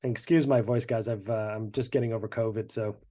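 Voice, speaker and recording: A man's voice: fairly low pitch, steady tone, brisk, dark tone. A congested man, the show's creator, chatting through behind-the-scenes commentary with many fillers. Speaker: show creator.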